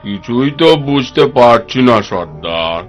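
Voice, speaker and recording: A man's voice: on the low side, animated, measured pace. A man playing a deferential bandit scout in a cartoon, addressing his boss. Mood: puzzled. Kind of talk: cartoon voice acting in Bengali. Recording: dull, band-limited audio under music.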